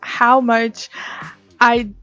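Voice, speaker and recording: High-voiced, unhurried young woman storyteller. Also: flat delivery, fairly bright tone, muffled recording, faint music behind. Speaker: host of an art story-time channel. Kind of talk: casual chatty storytelling.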